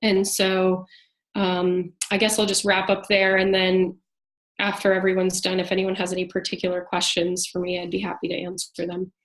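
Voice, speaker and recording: A woman with a congested voice from a cold, giving a halting policy update at an online town hall. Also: on the low side, completely flat delivery. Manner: halting, many fillers. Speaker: advocate speaking at a town hall.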